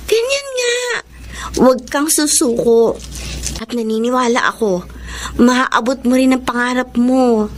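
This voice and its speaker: An actress playing a kind, loyal young woman in a Filipino radio drama, speaking gently and sympathetically with a Filipino accent. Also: a little high, animated, unhurried, noisy background. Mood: encouraging. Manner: gentle, sympathetic, polite to elders.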